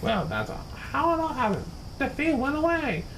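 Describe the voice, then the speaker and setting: A man giving a theatrical, expressive narration of a rhyming children's story. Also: very high-pitched, moderate pace, a little hiss or hum, low-quality recording. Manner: expressive, dramatic. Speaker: storybook reader.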